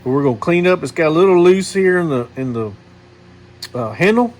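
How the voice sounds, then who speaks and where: A man's voice: on the high side, expressive, fairly quick. A man, hosting a casual knife video and rambling to his viewers with lots of fillers and restarts. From Missouri, USA. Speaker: knife channel host.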